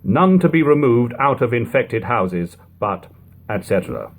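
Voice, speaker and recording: A man narrates old-fashioned prose in a formal, measured way. His voice is husky and mid-pitched, and his intonation rises and falls widely through each long sentence. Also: big pitch swings. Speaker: narrator reading a book aloud. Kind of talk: audiobook-style reading of an archaic text.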